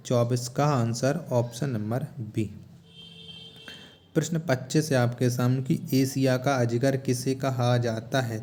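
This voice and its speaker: Male exam-prep tutor, mid-pitched dark tone, repetitive instructional delivery, faint noise behind. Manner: instructional, repeats each question before answering. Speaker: exam-prep teacher presenting a quiz. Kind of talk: quiz lesson narration, reading questions and answers.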